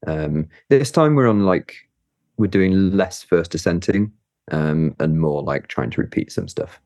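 Low-voiced man, laughing easily. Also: animated, a little slow, creaky, darkish tone, no room sound. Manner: laughs often, hesitant, many fillers. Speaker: guest climber. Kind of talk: casual interview chat.

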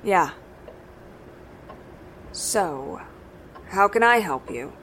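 An actress playing a blunt, weary, bitter middle-aged countrywoman in an audio drama, speaking gruffly and matter-of-factly. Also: lowish voice, lively intonation, extremely slow, noisy background, heavily acted. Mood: bitter, flat. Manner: blunt, matter-of-fact, swearing.

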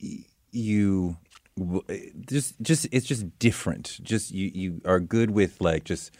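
A man's voice: deep voice, darkish tone, no echo, studio quality. A man hosting a casual interview, who stumbles and restarts as he gropes for words. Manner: halting, stumbles over words. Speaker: podcast host.

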